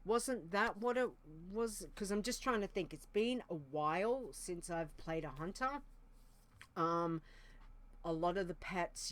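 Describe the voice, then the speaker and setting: A woman co-hosting a casual podcast, talking slowly and unhurriedly. Her voice sits a little low for a woman. She thinks aloud and hedges, filling her pauses with frequent ums. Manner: hesitant, thinking aloud with many fillers. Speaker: podcast co-host.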